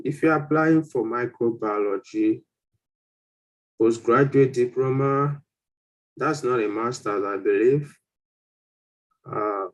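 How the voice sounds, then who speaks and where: A man's voice: mid-range voice, poor audio. Man explaining in a live Q&A. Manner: explanatory, repeats and rephrases points. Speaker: host answering applicants' questions. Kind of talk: informal live Q&A, reading questions aloud and advising.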